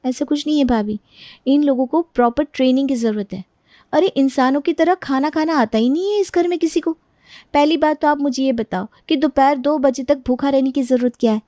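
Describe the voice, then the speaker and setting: A woman voicing a feisty, argumentative young daughter-in-law in an animated family story, lecturing in an exasperated manner. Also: high-pitched, a little fast, no echo, clean studio sound, natural voice.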